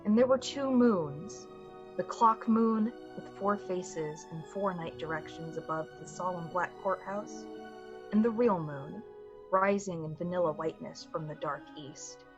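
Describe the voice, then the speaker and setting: A woman narrating a radio play in a measured, atmospheric, dramatic storytelling style. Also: on the low side, highs cut off, lightly acted. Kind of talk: literary radio-play narration in 1940s manner. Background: music.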